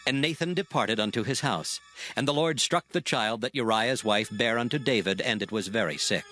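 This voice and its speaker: A man narrating dramatized scripture in a measured, solemn way. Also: mid-range voice, sharp tone.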